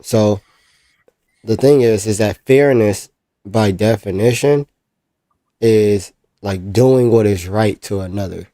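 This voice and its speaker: A man's voice: on the low side, slow pace, pauses often, warm tone, dry recording, clean studio sound. A man making the case for his faith in a live online debate, explaining step by step and checking in with "right?".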